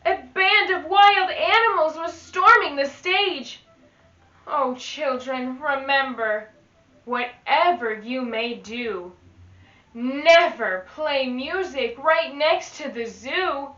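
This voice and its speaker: Woman, higher-pitched, very slow children's read-aloud, expressive, enunciated, instructional, echoey room. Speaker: teaching artist reading to children. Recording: echoey room, slightly dull sound.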